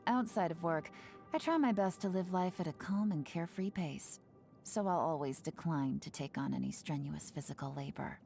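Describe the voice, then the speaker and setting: A sly young woman spy in a video game, with a fairly low, mellow voice. She speaks in an unhurried, teasing, secretive manner that slips into breathy asides, with music behind her. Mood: calm, confiding. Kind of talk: video game character voice lines.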